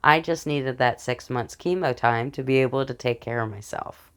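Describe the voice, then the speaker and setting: A woman's voice: very deep voice, normal speed, smooth. An American woman giving an informal political speech from notes in an earnest, explanatory manner. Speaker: speaker announcing a political platform.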